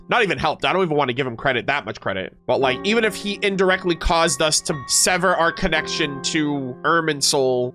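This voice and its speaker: High-voiced, expressive male game streamer. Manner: expressive, slangy, swearing fan talk. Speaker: gaming reaction content creator and streamer. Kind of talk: casual, opinionated reaction commentary to chat.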